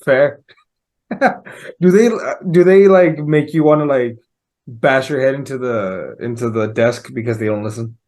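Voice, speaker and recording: A man's voice: medium pitch, a little slow, darkish tone. A man co-hosting a podcast, teasing and laughing, swearing freely in casual chat. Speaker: podcast co-host.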